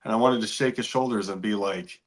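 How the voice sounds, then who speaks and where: A forty-year-old man talks casually as a show guest. His voice sits a little on the low side, and he speaks in an informal, conversational way. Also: brisk. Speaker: podcast guest. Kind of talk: casual chat. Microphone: online video call.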